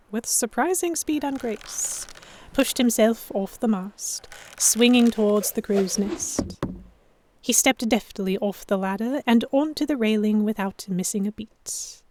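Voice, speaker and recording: A woman playing a proud, sharp-tongued historical heroine who narrates a pirate romance in the first person, wry and expressive. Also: medium pitch, slow pace, slight background noise, close to an everyday voice. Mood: reflective narration. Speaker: narrator and lead voice actor.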